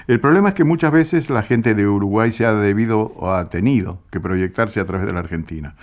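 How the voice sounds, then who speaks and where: A man, speaking Spanish as a radio music commentator, explaining in an unhurried way with small hesitations. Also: on the low side. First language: Italian.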